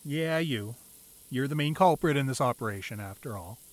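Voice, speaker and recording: Playful, lively gang-leader boyfriend, acted by a man. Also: mid-range voice, lightly acted. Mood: teasing, affectionate. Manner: playful mock-grumbling, soft asides, hesitant pauses. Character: tough male gang leader boyfriend, teasing and tender at home. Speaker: ASMR roleplay voice actor. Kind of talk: intimate ASMR boyfriend roleplay acting. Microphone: close microphone, dry.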